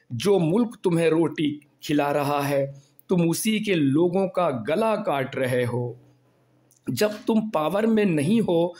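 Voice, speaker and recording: A hoarse man recording at home, giving an impassioned, preachy monologue that builds to angry rhetorical questions. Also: a little high, a little mellow. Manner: preachy, rhetorical, rising to anger. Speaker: video blogger.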